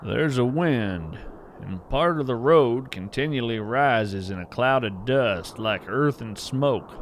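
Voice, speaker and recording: A man playing an earnest wandering naturalist in scripted audio fiction, narrating in a measured, poetic way with deliberate pauses. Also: mid-range voice, expressive, a little hiss or hum. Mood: resigned, observant. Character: earnest, wonder-struck wandering naturalist of hidden creatures. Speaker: podcast host narrator in character.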